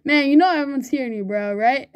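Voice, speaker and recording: A woman chatting excitedly while playing an online game with a friend, laughing often and calling everyone bro. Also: high voice, fast, lo-fi sound. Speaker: gamer recording gameplay. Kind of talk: excited gaming banter with a friend.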